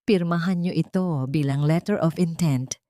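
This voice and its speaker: A cold, glamorous woman out for revenge, as played by a female narrator, speaks in a dry, close recording. She is haughty and measured, with threat in her words, in the style of an audio drama. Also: deep voice, dark tone, dry recording, lightly acted. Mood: stern, threatening.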